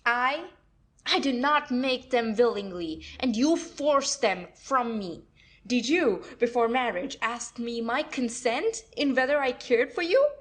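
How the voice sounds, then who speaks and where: Woman playing a high-voiced, defiant young wife. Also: animated, measured pace. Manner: theatrical, haughty. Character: defiant, flippant young wife, mock-innocent. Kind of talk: classic stage comedy audio play.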